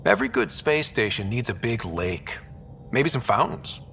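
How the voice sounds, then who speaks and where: Young male explorer lead, sci-fi game. Casual, understated, dry humor, medium pitch. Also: lively intonation, normal speed, creaky, a bit bright, slight background noise. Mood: wry. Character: young male space explorer leader, easygoing, wry. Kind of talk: naturalistic sci-fi game acting.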